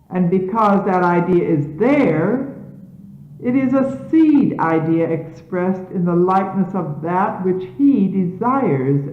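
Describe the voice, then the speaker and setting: A woman reading scripture as a solemn sermon in measured, emphatic, formal diction, on an archival recording from the 1970s. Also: fairly low pitch. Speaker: religious leader reading scripture aloud. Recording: phone-like, echoey 1970s archival tape.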